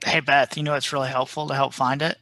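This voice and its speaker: A man's voice: mid-range voice, level tone, fast, sharp tone. A man co-hosting a podcast, chatting casually with a joking, teasing manner. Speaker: podcast co-host. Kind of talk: casual chat.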